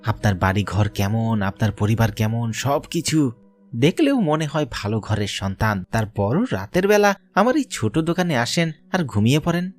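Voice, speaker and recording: A man's voice: mid-range voice, close to an everyday voice. Male actor as village tea-stall owner who drinks, probing and familiar; horror cartoon, music bed. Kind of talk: horror cartoon character dialogue.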